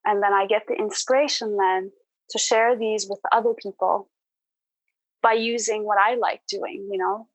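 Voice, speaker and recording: A woman's voice: medium pitch, fairly even intonation, moderate pace, no echo, poor audio. A woman podcast guest telling her personal story in an earnest, thoughtful, reflective way.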